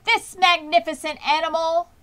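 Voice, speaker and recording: Pompous loudspeaker announcer, acted by a woman reader, high pitched, booming, grandiose, declamatory, storybook style. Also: little pitch movement, moderate pace. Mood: grandiose, proud. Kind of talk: children's storybook character voice.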